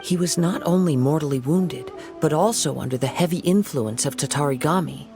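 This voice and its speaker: An actor playing a calm, thoughtful young wandering swordsman in a video game, with measured, formal phrasing. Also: fairly high pitch, soft background music, lightly acted. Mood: grave, reasoning.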